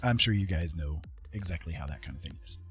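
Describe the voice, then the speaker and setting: Guy with a lowish voice rambling casually on an art stream, folksy, faint music behind. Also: lively intonation, fast talker. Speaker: livestream host and artist. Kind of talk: casual livestream chat while making art.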